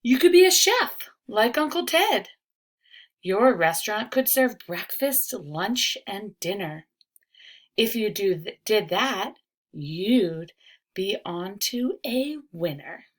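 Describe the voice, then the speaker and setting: A woman's voice: mid-range voice, animated, slow pace. A woman voicing an encouraging, upbeat male older cousin who reassures a child in rhyming storybook lines.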